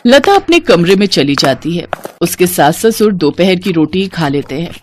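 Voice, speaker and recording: A woman's voice: on the low side, sing-song, moderate pace, a little hiss or hum. A woman storyteller reading scripted narration of a moral tale.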